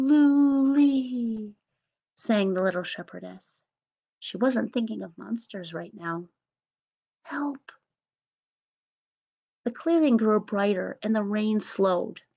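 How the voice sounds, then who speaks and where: A woman's voice: mid-range voice, a little slow, vocal fry, a little mellow. A woman in her thirties narrating a scripted storybook tale as storyteller, recorded on a webcam in a room at home. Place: small home room indoors. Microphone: webcam or laptop at arm's length. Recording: slightly dull webcam audio.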